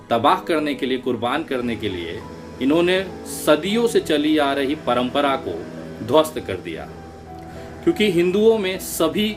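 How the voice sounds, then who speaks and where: A man giving political commentary straight to viewers, in an emphatic, indignant and rhetorical manner. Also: fairly high pitch. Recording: music bed under the voice. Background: background music.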